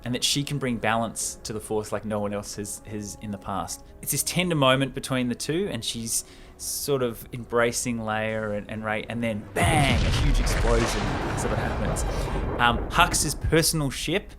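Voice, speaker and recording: A man's voice: mid-range voice, moderate pace, hardly any pauses, over music. A man hosting a show, retelling and commenting on a story in a casual, informal, explanatory way. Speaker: host retelling a film script.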